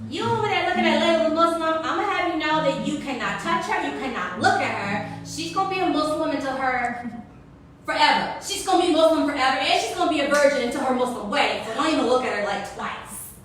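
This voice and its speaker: Woman as a high, scolding, protective college girl. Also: quick pace, fairly bright tone. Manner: emphatic, scolding, slangy. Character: loud, protective, sassy college girl warning off a boy. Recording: very echoey, distant, music behind.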